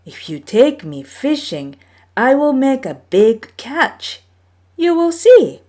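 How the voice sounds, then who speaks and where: A woman's voice: high-pitched, big pitch swings, slow pace, dark tone, pitched above the performer's own voice, full character voice. A woman voicing an eager, boastful young boy trickster in a storybook tale, pleading and insistent.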